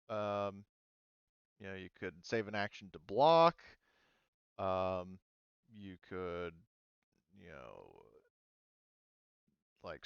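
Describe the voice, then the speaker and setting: A man with a smooth, clear voice acts as game master, talking players through rules in a casual way. He often thinks aloud as he checks things. The sound is dry, close and a little dull. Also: lowish voice, no echo. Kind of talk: running a tabletop roleplaying game, casual explanation.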